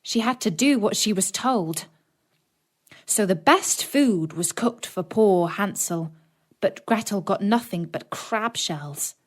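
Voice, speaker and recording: A woman narrating a scripted children's story in a dramatic, deliberate storytelling manner. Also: medium pitch, animated. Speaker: audiobook narrator.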